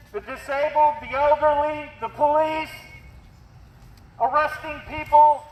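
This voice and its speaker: Very high-pitched American man on an echoing bullhorn. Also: fairly bright tone. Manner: confrontational, halting, with pauses mid-phrase. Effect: bullhorn amplification. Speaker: protest activist challenging the governor. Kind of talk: public protest speech over a bullhorn. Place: outdoors at an official's doorstep. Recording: echoey, reverberant noisy outdoor bullhorn recording.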